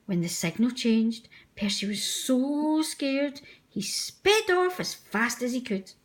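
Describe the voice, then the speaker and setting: A woman's voice: a little high, very animated, takes it slowly. A woman narrating a children's storybook aloud. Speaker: storybook reader.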